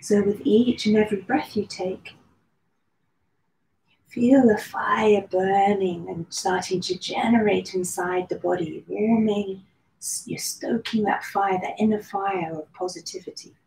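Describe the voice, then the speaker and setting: Soft-toned woman guiding a meditation. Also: mid-range voice, fairly even intonation, gravelly. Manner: slow, soothing guidance with long pauses. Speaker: meditation and yoga teacher. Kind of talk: guided meditation. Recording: roomy echo with faint background noise.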